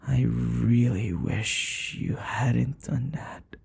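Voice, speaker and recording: Casting: man to play possessive dating-sim lover, dark soft voice, slow coaxing delivery, sinister sweetness, whispery ASMR intimacy. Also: mid-range voice, heavily acted. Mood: anxious, pleading. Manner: soft, coaxing endearments, breathy sighs and whispers. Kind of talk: intimate ASMR roleplay acting.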